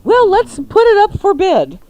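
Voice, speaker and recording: A woman's voice: very high-pitched, wildly swooping pitch, unhurried, dark tone, muffled recording. A woman hosting a radio show with casual, playful, coaxing banter.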